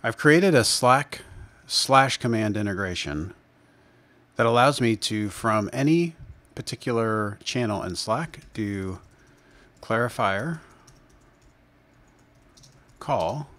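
Male screencast presenter, lower register, measured step-by-step tutorial delivery, unscripted. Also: expressive, a bit bright, no echo. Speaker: presenter of a software demo.

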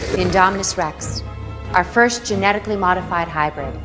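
A woman playing a brisk, tightly wound theme park manager in game cutscenes, with a clipped, businesslike delivery. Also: lowish voice, loud music behind the voice, natural voice. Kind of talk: video game cutscene dialogue.

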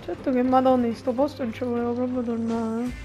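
A woman's voice: a little high, flat delivery, rapid-fire, warm tone. A woman giving casual, informal gameplay commentary as a YouTube host, with muttered reactions and exclamations. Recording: game music behind the voice.

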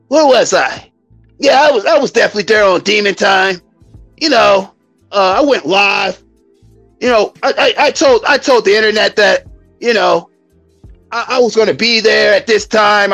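An American man around fifty hosting a live stream as a comedic mock church testimony, with a theatrical, drawn-out, preacher-like delivery. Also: high-pitched, gravelly, fairly bright tone, no room sound. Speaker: YouTube live stream host.

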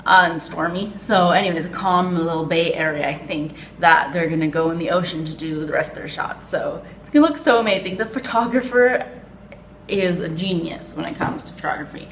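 A woman's voice: on the low side, brisk, fairly bright tone, slight background noise. A young woman from Canada vlogging on holiday, talking casually and rambling with many fillers, recorded in a hotel room on a handheld camera. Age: young adult. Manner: rambling, upbeat, lots of "like" and "um". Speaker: travel vlogger. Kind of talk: casual chatty vlog. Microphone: phone camera held at arm's length.

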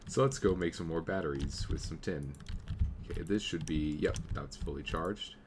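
A young man with a slight cold, casually commenting on his game as he plays and thinking aloud, now and then clearing his throat. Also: extremely low pitch, moderate pace, a little hiss or hum. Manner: easygoing, thinking aloud while playing. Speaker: gameplay commentator. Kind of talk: casual let's play commentary.

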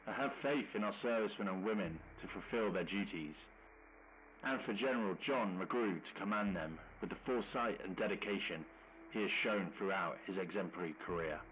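A solemn president, voiced by a man addresses the nation in a very deep voice. His delivery is formal and statesmanlike, with faint noise on the radio broadcast. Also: brisk, a bit bright, poor audio. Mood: solemn, reassuring. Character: solemn male American president, reassuring and statesmanlike.

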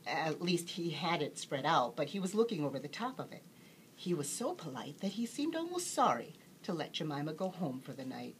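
A woman reading a children's bedtime story aloud as an expressive storyteller. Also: sits low, moderate pace, a little hiss or hum.

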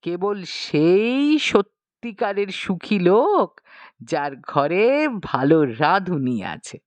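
A woman's voice: fairly low pitch, big pitch swings, slow, no room sound, voice pushed down. A woman voicing a self-pitying, gluttonous circus man in an audiobook story, plaintive, melodramatic and coaxing. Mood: melodramatic, sorrowful.

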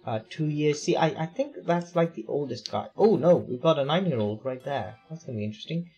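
A man's voice: fairly high pitch, expressive, brisk, warm tone, breathy edge, lo-fi sound, soft background music. A man with a tired, failing voice gives casual, rambling commentary on his city-building game, full of fillers and questions to himself. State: voice going hoarse. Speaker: let's play gamer.

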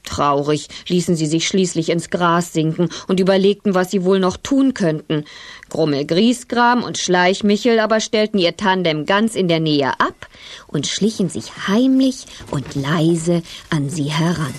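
Lowish-voiced woman telling a children's story. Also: animated, a little slow, no room sound. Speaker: narrator. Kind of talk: scripted children's story narration.

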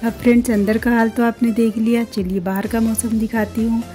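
Mellow-voiced middle-aged Indian woman vlogger. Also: on the high side, level tone, brisk, faint music behind. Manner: chatty, explanatory, addresses viewers as friends. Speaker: vlogger and channel host. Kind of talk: scripted-sounding travel vlog narration addressed to viewers.

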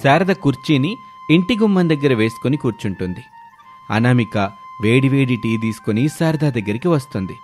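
A man narrates a scripted story. His voice sits at an ordinary male pitch. Soft music plays behind him. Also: lively intonation, moderate pace.

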